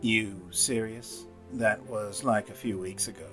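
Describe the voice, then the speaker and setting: A man's voice: lowish voice, steady tone, unhurried, fairly bright tone, faint music behind. A man voicing a sly, menacing raven with a drawling, dismissive manner.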